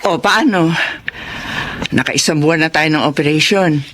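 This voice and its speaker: A woman's voice: low-pitched, normal speed, a bit bright. A woman with a Filipino accent playing a kindly but authoritative older grandmother in a radio drama. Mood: kindly, businesslike. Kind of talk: Tagalog radio drama acting.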